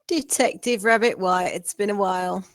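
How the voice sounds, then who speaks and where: A woman's voice: mid-range voice, steady tone, moderate pace, no room sound, clean studio sound, close to an everyday voice. A woman playing a sneering, mocking gangster river rat boss in slangy tough talk at a script table read. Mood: mocking menace. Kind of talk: table-read character acting.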